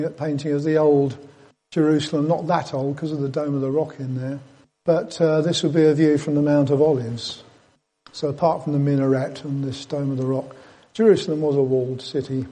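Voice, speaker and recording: Husky, mellow Englishman preaching informally. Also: on the high side. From Britain. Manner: conversational teacher, dry jokey asides, tag questions. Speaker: preacher giving a Bible talk. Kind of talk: informal sermon with slides.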